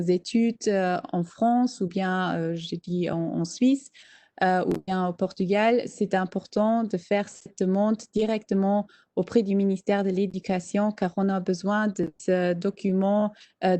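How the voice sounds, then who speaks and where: A woman's voice: mid-range voice, warm tone, no room sound. A woman whose first language is German, presenting an information session on a training course, with frequent hesitations and fillers. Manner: hesitant, many fillers, checks audience understanding. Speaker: training advisor.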